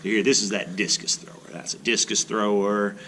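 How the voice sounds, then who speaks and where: A man narrating a children's storybook in an expressive read-aloud style, as a teacher would. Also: on the low side, normal speed, slight background noise, poor audio. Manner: expressive, animated delivery. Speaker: art teacher reading a children's book aloud.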